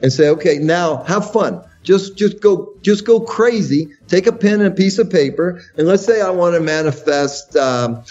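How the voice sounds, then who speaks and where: A man's voice: high-pitched, lively intonation, moderate pace, hardly any pauses, a little mellow. A man, a guest giving enthusiastic, coaching advice on a radio show. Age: 60s. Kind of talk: radio interview. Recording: dull lo-fi radio sound with faint music.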